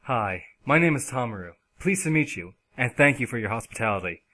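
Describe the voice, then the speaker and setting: A man's voice: medium pitch, expressive, a little fast, bright, forceful tone. A man playing a sunny, polite young guest in an audio drama, friendly and courteous. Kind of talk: anime-style audio drama acting.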